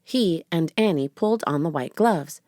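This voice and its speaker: A woman's voice: low voice, expressive, normal speed, dark tone, no echo, studio quality. A woman narrating a children's chapter book aloud as a storybook reader.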